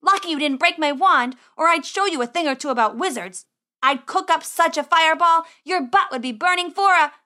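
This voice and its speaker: A woman's voice: high voice, big pitch swings, a little fast, bright, forceful tone, voice pushed up, heavily acted. A woman voicing a cheeky, boastful teenage boy wizard in a storybook, grandiose and chatty. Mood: indignant boasting. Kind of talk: audiobook character acting.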